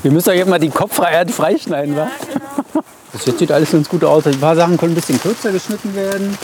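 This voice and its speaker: A man's voice: fairly high pitch, fast talker, noisy background. A man casually explaining a practical task outdoors, adding little tag questions. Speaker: instructor.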